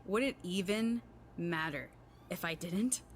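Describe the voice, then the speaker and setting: A woman's voice: on the low side, a little slow, lightly acted. A young woman acting as a proud, defiant assassin and boss's daughter, curt, guarded and challenging in a dramatic scene. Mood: defiant, bitter. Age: young adult. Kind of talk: dramatic film-style acting. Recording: clearly noisy background ambience.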